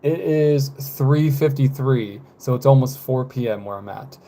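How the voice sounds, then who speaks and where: A man in his twenties chatting casually with live viewers, rambling and hesitant, with many fillers, self-corrections and soft laughs. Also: medium pitch, unhurried. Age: 20s. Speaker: live streamer.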